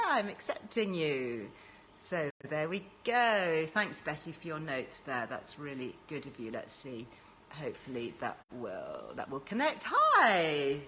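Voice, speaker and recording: A woman's voice: sits low, huge pitch swings, a little slow, pushed and bright, a little hiss or hum. A woman hosting a casual live-stream chat in an upbeat, encouraging way. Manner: chatty, enthusiastic, encouraging. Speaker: host.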